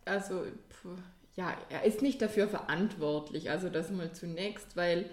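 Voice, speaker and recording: Young woman, podcast co-host, measured and reflective, echoey room. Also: on the low side, a little mellow. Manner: measured, thoughtful with fillers. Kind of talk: casual chat. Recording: roomy echo.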